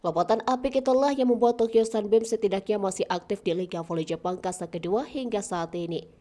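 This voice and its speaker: A woman narrates a sports news piece from a script, with a mellow, warm tone. Her delivery is even and read-aloud, easing down at the close of each sentence. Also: medium pitch, fairly quick.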